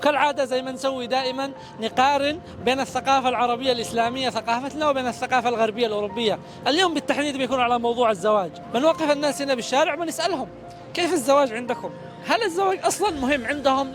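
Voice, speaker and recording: A man from Yemen hosts a street interview, firing off questions in a rapid, high voice and chuckling at the answers. He sounds lively and quick as he prompts passersby outdoors, with music behind him. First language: Arabic. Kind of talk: upbeat vlog intro and street interview questions.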